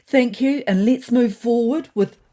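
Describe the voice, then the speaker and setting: A woman's voice: on the high side, unhurried, warm tone, pitched above the performer's own voice, lightly acted. A woman plays a self-important female cat leader in a comedy fiction podcast. She orates in grand, formal phrases, her voice lifted and projected like a politician on a podium. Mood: pompous, stirring. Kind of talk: political speech in a comedy story.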